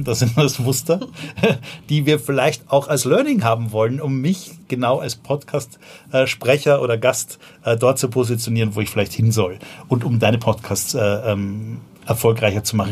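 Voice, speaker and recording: A man's voice: medium pitch, darkish tone, slightly rough. Viennese man, loose slangy chat. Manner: loose and slangy, frequent fillers and self-restarts. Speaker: podcast guest, startup founder. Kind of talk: casual conversational storytelling.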